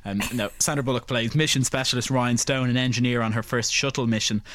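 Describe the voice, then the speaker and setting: A man co-hosting a culture podcast, chatting casually and reading quick film summaries. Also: mid-range voice, fairly even intonation, a bit bright, a little hiss or hum. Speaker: podcast co-host.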